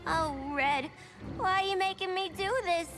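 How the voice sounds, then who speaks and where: A woman's voice: extremely high pitch, normal speed. An actress voicing a brash, zany young female jester antihero in a game, talking in a giddy, slangy sing-song. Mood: playful. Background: game score music.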